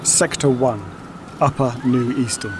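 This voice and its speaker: A man giving scripted, dramatic narration for an audio story in a measured way. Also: mid-range voice, huge pitch swings, noisy background. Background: music and sound effects.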